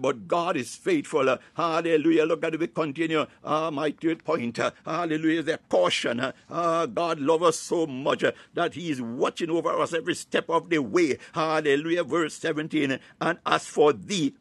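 A man's voice: a little high, fairly bright tone, no room sound. A man delivering a fervent Pentecostal sermon, expounding scripture in short phrases punctuated by exclamations of praise. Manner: breaks into speaking in tongues. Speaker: preacher.

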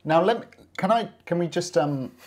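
A man's voice: sits high, expressive, darkish tone. A man co-hosting a podcast, making wry, teasing remarks in casual chat. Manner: wry, teasing asides, quick to laugh. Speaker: podcast co-host.

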